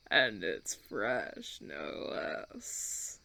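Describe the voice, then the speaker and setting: A man playing a suave, condescending vampire colony leader in a roleplay audio drama, theatrical and teasing, with drawn-out phrasing. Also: mid-range voice, vocal fry, pushed and bright, heavily acted. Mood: intrigued, accusing.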